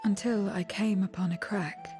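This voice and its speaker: A woman playing a guarded, world-weary survivor in a video game cutscene, speaking in a measured, solemn, detached way. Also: medium pitch, fairly even intonation, close to an everyday voice. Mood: grave, brooding. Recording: background music under the voice.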